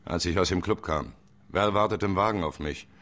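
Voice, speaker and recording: A deep-voiced, defensive suspect. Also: male voice, moderate pace, fairly bright tone. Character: shaken man telling his side to police.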